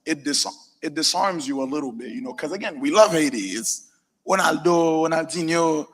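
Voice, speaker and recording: Slangy relaxed man in an echoey hall. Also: fairly high pitch, normal speed. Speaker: panelist. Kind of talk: casual panel discussion. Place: event venue with a large hall.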